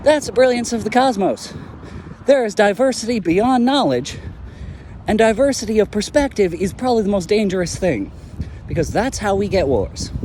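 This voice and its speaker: Man doing a stubborn blunt young hero, high animated voice, traffic noise behind. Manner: blunt, swearing, ranting. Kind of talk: fan audio drama acting.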